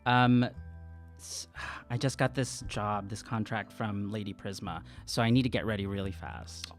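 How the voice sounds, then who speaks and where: A man's voice: lowish voice, moderate pace, soft background music. A man in his thirties roleplaying casually as a tabletop game player, thoughtful and hesitant, on a close microphone. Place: small indoor studio room. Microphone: close desk microphone on stand.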